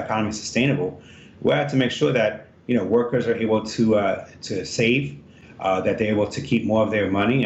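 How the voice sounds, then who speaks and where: A man from Ethiopia, a congressional candidate, answering interview questions in a halting way with many fillers and restarts. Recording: roomy, dull remote line with faint rumble.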